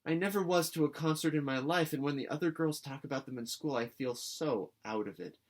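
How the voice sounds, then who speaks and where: Man playing a young orphan girl, storybook character, raised pitch, gushing, earnest, dramatic, pleading. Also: a little fast, lightly acted. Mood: repentant, earnest.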